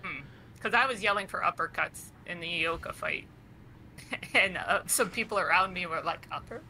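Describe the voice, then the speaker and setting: A woman's voice: lowish voice, moderate pace, bright, forceful tone, slight background noise, rough recording. A woman from Canada, co-hosting a podcast in casual chat, talking freely and laughing often. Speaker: podcast co-host.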